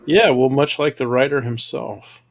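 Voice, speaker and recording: Regular guy voice, medium pitch, hosting a laid-back chatty review. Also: moderate pace, dry recording. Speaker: host reviewing animated audio dramas. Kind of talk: casual chat commentary.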